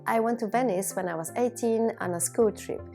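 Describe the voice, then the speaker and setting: A woman in her thirties from Bulgaria, a native Bulgarian speaker, giving a reflective personal monologue to viewers in measured, deliberate phrases. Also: fairly high pitch, warm tone, faint music behind. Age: 30s. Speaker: video blogger.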